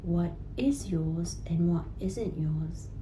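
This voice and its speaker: A woman guiding a client through a healing session in a warm, mellow voice. She encourages the client and keeps checking in with a quick "right?" The sound is roomy and echoey, with a faint rumble behind her. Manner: encouraging, repeats "right?" and "guess what". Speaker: healing practitioner. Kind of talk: coaching session.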